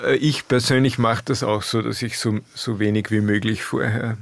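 A man's voice: fairly low pitch, fairly even intonation, normal speed, gravelly, no room sound. A middle-aged Austrian man from the Alps, an author being interviewed, talking in a dry, laconic way and chuckling often. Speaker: author guest. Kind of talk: relaxed interview talk.

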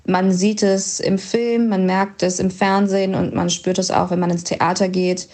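A woman in her twenties, an actress, talking about her career in a relaxed, chatty way with frequent fillers. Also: mid-range voice, moderate pace. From Germany, Palatinate. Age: 20s. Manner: chatty, reflective, many fillers. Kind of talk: interview answers, casual.

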